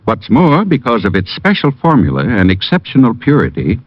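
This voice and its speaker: Casting: male ad announcer, lively intonation, dry, dull old radio sound. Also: a little fast, no room sound. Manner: reassuring sales pitch. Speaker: announcer in a commercial. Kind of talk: 1950s radio ad.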